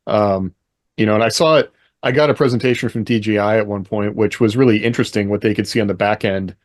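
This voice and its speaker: Low-voiced man chatting, dry close sound. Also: steady tone, fast, dry recording. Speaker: podcast co-host. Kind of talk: casual panel discussion.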